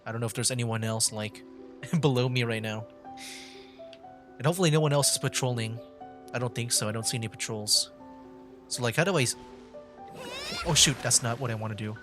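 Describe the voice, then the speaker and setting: A man chats casually through a game livestream in a mid-pitched voice, thinking aloud as he goes. His talk rambles loosely, with game music playing behind him. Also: brisk, pauses often. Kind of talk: casual live game commentary.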